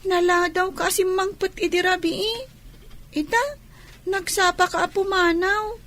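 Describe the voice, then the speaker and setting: A woman's voice: very high-pitched, flat delivery, unhurried, fairly bright tone. A woman voicing a small young daughter who pleads with her father in a whiny child's voice. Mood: pleading.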